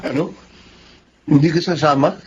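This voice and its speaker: An actor with a Filipino accent playing a self-interested, insistent friend hungry for office in a radio drama. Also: male voice, on the high side, normal speed, music in the background, close to an everyday voice. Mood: insistent, dismayed. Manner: insistent, expressive. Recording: dull lo-fi audio with background noise.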